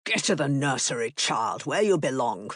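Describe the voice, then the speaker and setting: A woman's voice: very deep voice, sing-song, normal speed, a bit bright, slightly rough, no echo, studio quality, pitched below the performer's own voice, heavily acted. A woman voicing a cold, contemptuous aristocratic father who talks in a harsh, sneering way.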